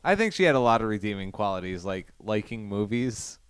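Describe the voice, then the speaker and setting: A man's voice: lowish voice, sing-song, fairly quick, smooth, no echo. A man co-hosting a film podcast, talking thoughtfully and analytically. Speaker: podcast co-host. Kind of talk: casual film podcast chat.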